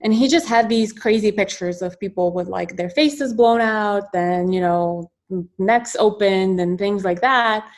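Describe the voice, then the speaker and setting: A woman in her thirties who grew up in Ukraine tells her story in a chatty, animated way, full of fillers and quick asides. Her voice sits in a middle pitch. She is heard over a video call. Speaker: guest surgeon and professor. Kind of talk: casual interview answers.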